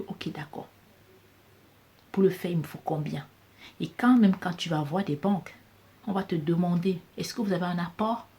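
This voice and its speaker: A woman's voice: on the low side, mellow. A woman with a Cameroonian French accent, giving an emphatic motivational business talk that repeats key phrases for stress. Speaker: business coach vlogger.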